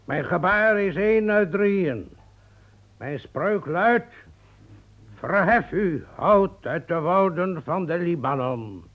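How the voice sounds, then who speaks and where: An actor in a radio play playing a pompous, imperious magician who speaks in a commanding, incantatory manner. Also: male voice, high voice, animated, slow. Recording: dull old radio recording.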